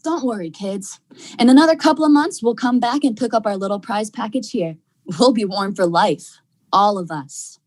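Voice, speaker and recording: A woman's voice: medium pitch, normal speed, a little mellow, close to an everyday voice. A young woman acting a tough, dismissive male crook in vintage radio drama style, with folksy slangy delivery. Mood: reassuring. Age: young adult. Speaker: student actor.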